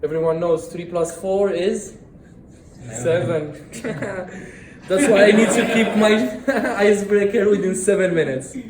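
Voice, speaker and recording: A man's voice: high-pitched, measured pace, very noisy. A man, delivering a prepared speech at a club meeting in an earnest way and often restarting his words. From Morocco. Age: 30s. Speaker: club speaker. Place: meeting room. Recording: echoey room.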